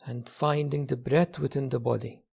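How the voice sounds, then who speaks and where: A man leading a guided meditation as a teacher, speaking gently in short phrases with long pauses. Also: mid-range voice, steady tone, creaky, husky. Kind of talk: guided meditation, slow spoken instruction.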